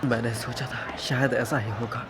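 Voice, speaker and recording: A man's voice: medium pitch, little pitch movement, normal speed, noisy background, close to an everyday voice. A man voicing a polite, earnest teenage transfer student in a Hindi anime fan dub.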